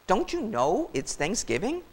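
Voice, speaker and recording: A big bossy storybook goose, played by a woman, squawking her scoldings at high volume. Her pitch leaps about wildly as she honks and snaps with brusque impatience. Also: wildly swooping pitch, unhurried, husky, full character voice.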